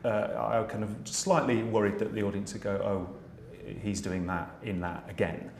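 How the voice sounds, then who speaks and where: A middle-aged British man who writes, directs and plays the dame in pantomime, talking in a relaxed interview with many restarts and fillers. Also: on the low side, normal speed. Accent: British English. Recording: echoey room with faint noise.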